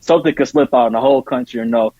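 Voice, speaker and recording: A man's voice: mid-range voice, racing, mellow, no room sound, highs cut off. A man speaking casually and earnestly as a podcast guest, often repeating points for emphasis. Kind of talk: casual conversation.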